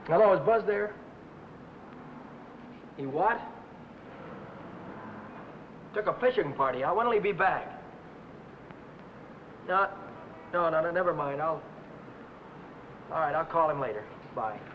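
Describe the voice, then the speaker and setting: Hard-pressed young American mechanic, slangy and clipped, higher-set male voice, vintage noir film with clear noise. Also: expressive, a little slow, pauses often, fairly bright tone. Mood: anxious. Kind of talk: 1950 film noir acting and voice-over. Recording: noisy old film soundtrack.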